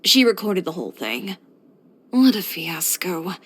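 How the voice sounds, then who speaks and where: A woman's voice: fairly low pitch, very animated, unhurried, husky, heavily acted. An actress playing a headstrong, composed young woman who runs a boutique, speaking frankly and with dry confidence, as video game character voice lines. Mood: wry, exasperated. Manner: matter-of-fact, frank, dryly confident delivery.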